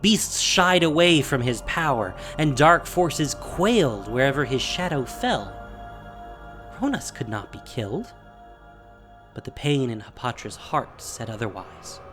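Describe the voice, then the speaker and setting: A man's voice: medium pitch, sing-song, measured pace, a bit bright, faint music behind. A man reading scripted dramatic fantasy narration as the storyteller of an audio drama.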